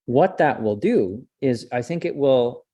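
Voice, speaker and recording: A man's voice: mid-range voice, lively intonation, a little slow, warm tone, no room sound. A man from French Canada, a native French speaker, answering interview questions as a communications professor in a thoughtful, discursive way, often restarting and tagging "right?".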